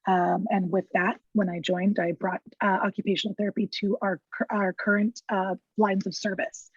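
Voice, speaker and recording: A woman with a scratchy, hoarse voice, introducing a webinar as a clinical director with many ums and uhs. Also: medium pitch, little pitch movement, moderate pace, no room sound, muffled recording. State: hoarse, lost voice. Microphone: video call.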